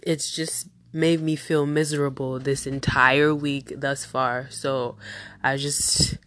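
A woman's voice: a bass voice, flat delivery, slow, a bit bright. A woman hosting a casual solo podcast, sounding nasal from a cold and talking in a chatty, rambling way with tangents. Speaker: podcast host.

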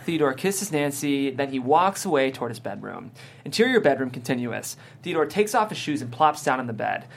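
Lively-pitched screenplay narrator. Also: fairly quick, gravelly, slight background noise. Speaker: stage-direction narrator. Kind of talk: scripted narration of screenplay action.

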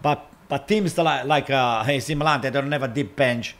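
A man, a co-host arguing in a casual chat, insistent and repeating himself with many halting fillers. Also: mid-range voice, fairly bright tone. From Italy. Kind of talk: heated casual debate.

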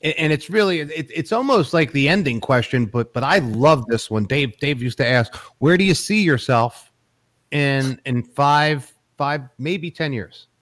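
A man's voice: medium pitch, animated, measured pace, fairly bright tone. A middle-aged man hosting a casual chat, joking around and often repeating his words. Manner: jokey, stammers and repeats words. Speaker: podcast host.

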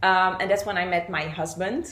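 A woman's voice: lowish voice, fast talker, a bit bright, reverberant, a little hiss or hum. A Brazilian-born woman in her thirties, a native Portuguese speaker, chatting casually as an interview guest, with lots of fillers and restarts. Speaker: guest, vlogger.

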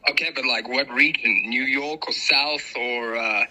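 A man's voice: medium pitch, a little slow, pushed and bright, poor audio. A man with a South African accent, chatting casually as a guest and explaining things with emphatic repetition. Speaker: podcast guest. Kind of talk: casual conversation.

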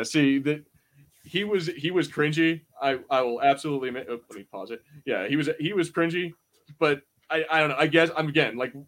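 A man in his twenties co-hosting a podcast, chatting casually in a rambling, animated, sweary way. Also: on the high side. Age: 20s. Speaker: podcast host. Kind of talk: casual panel chat reviewing a show.

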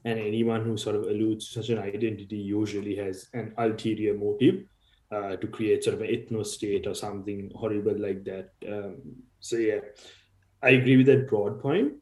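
A man's voice: fairly low pitch, fairly even intonation, normal speed, darkish tone, roomy sound, low-quality recording. A man from Sri Lanka, a podcast guest, talking through ideas thoughtfully and hedging often with "sort of". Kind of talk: casual discussion.

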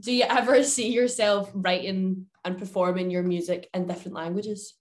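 A woman's voice: medium pitch, steady tone, moderate pace. A young woman interviewing casually, enthusiastic, swearing freely and using plenty of fillers. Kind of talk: casual chat.

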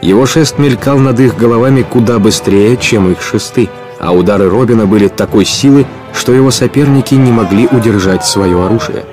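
A male narrator tells an adventure story for a radio play. His voice is on the low side, expressive and literary, with music underneath. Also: fairly quick, hardly any pauses.

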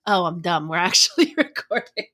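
A woman's voice: on the low side, expressive, moderate pace, sharp tone. A woman co-hosting a podcast in casual chat, candid and jokily self-deprecating. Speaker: podcast co-host.

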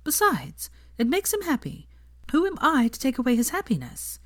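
A prim, earnest young expectant mother in audiobook dialogue , acted by a woman reader. Her voice sits lifted and soft, with a light lilt and an awkward warmth. The sound is close and dry. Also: sits high, wildly swooping pitch, moderate pace, dry recording, voice pushed up, full character voice.

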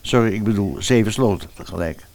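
A man's voice: lowish voice, fairly quick, vocal fry, darkish tone. An actor playing an unconcerned, mildly sceptical father in a comic fairy-tale audio drama. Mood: unbothered.

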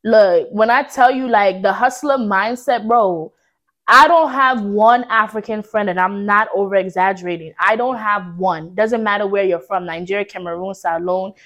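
A woman's voice: mid-range voice, normal speed, a bit bright. A young woman in a casual podcast discussion, talking in slang and arguing her point assertively. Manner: slangy, assertive, devil's advocate.

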